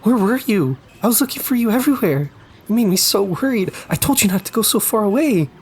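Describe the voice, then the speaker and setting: Guy doing a worried mom voice, pitched way up, fussy and loving, audio drama. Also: expressive, brisk, darkish tone, breathy edge, full character voice. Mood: frantic, relieved. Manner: fussing, affectionate. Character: anxious doting mother of a young child. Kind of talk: audio drama character dialogue.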